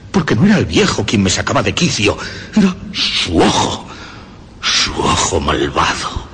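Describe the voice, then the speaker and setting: A deranged, cunning killer confesses with morbid pride in a radio horror drama. The voice is very low and theatrical, brooding through long pauses, then swelling in wide melodic swoops. It rings in a long, cavernous reverb. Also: male voice, fairly bright tone, slightly rough, exaggerated voice. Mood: sinister, obsessive. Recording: long reverb, faint background noise.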